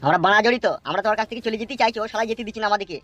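A man acts out a weary gangster henchman in a comedy cartoon. He grumbles crudely and cynically at a very quick clip, over background music. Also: high voice, pushed and bright, dry recording. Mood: indignant, cynical. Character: weary, cynical, foul-mouthed henchman of a politician. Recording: music behind the voice.

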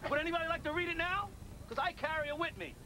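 A man coaching football, giving his team a stern, forceful talk outdoors. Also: very high voice, quick pace. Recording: noisy outdoor field sound.

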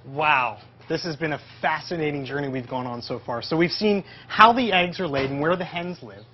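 A man's voice: fairly high pitch, moderate pace. An upbeat man in his thirties hosts an educational interview in a chatty presenter's way. The sound is a little dull, with some ambient noise from the open shed around him. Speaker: host, interviewer. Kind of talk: presenting an educational virtual tour. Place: semi-open metal farm shed. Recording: dull audio with clear background noise.

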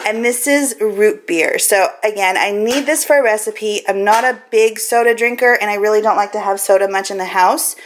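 A woman's voice: mid-range voice, normal speed, hardly any pauses. A woman in her thirties with an American accent, chatting informally and rambling as she vlogs in her kitchen on a handheld camera. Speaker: vlogger. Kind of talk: casual grocery haul vlog chat. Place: home kitchen, indoors. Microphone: camera mic, handheld at arm's length.

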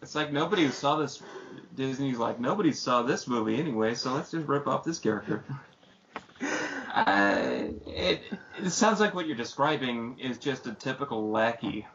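Gravelly man with dry asides. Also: medium pitch, lively intonation, moderate pace, a bit bright. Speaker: podcast co-host. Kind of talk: casual chat. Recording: dull lo-fi audio with faint noise.

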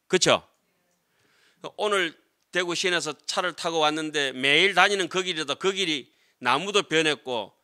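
A middle-aged man lectures as a Buddhist monk. His voice is fairly high and strikingly bright and forceful. He explains conversationally, often putting short tag questions to his listeners. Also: clear, resonant tone. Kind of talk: classroom lecture on Buddhist scripture. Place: lecture room with seated audience, indoors. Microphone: desk gooseneck microphones.

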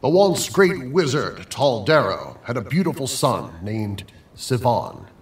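A man's voice: mid-range voice, very animated, a little slow. A man telling an old legend as dramatic scripted narration. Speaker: storyteller. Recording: echoey, distant, faint ambient noise.

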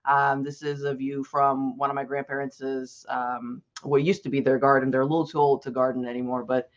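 A man's voice: medium pitch, level tone, normal speed. A young man from Bulgaria tells students a personal story during an online presentation. He is earnest and conversational and often restarts his sentences. His audio comes through a dull, lo-fi video call line. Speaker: advocacy specialist presenting to students.

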